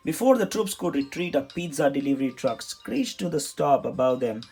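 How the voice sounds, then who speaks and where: Animated man reading a children's storybook. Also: a little high, normal speed, breathy edge. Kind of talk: read-aloud storybook narration. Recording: music behind the voice.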